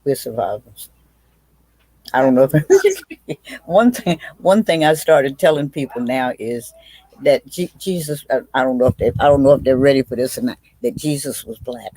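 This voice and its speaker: A woman's voice: extremely low pitch, lively intonation, moderate pace, warm tone, dry recording, low-quality recording. A woman, a witty, blunt guest telling stories in a casual video chat, often restarting her sentences. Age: 80s. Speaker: guest, aunt.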